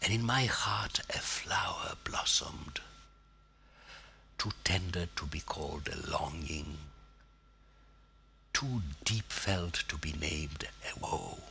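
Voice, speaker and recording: A man reciting poetry in a theatrical, declamatory manner, with weighty pauses and a husky, gravelly, breathy voice. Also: extremely low pitch, sharp tone, whispered. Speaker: poetry reader.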